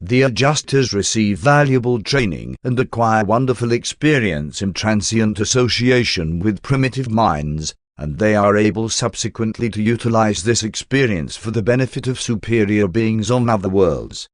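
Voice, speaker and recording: Man, lowish voice, formal scripted reading, measured delivery, audiobook narrator, very dry recording. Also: animated, no room sound.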